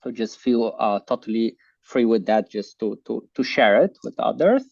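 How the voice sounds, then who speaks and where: A man hosting an online class on a video call, talking in a halting way with many fillers. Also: medium pitch, animated, gravelly, a little mellow, no room sound. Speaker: online course host. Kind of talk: casual online class talk. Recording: muffled video call audio.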